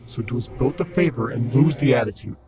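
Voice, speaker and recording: An actor in an audio drama playing a disembodied, once-human servant spirit, commanding and impatient, with formal, urgent speech. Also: male voice, expressive, fairly quick, over a noisy crowd, close to an everyday voice. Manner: stern, urgent, formal and cryptic. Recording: loud rumbling background noise.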